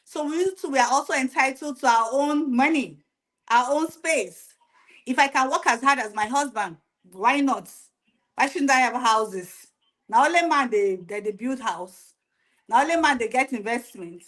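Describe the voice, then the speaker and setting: A woman's voice: sits high, moderate pace, fairly bright tone, rough recording. Earnest woman arguing her view. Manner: earnest, argumentative. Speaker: panelist. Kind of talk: opinionated panel chat.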